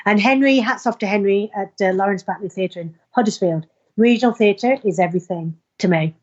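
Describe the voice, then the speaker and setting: A woman's voice: medium pitch, a little fast, a little mellow, muffled recording. A woman actor being interviewed informally, speaking in an earnest, emphatic and effusive way to promote a play.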